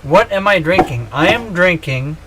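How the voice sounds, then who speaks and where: A man's voice: sits high, animated, moderate pace, background noise. A man in his thirties chatting casually on a gaming livestream, joking and rambling with self-mocking humor. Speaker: streamer.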